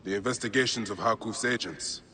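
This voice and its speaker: A man's voice: fairly low pitch, fairly quick, pushed and bright, slight background noise. A man playing a stern, purposeful Egyptian warrior in a dramatic video game. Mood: firm, probing.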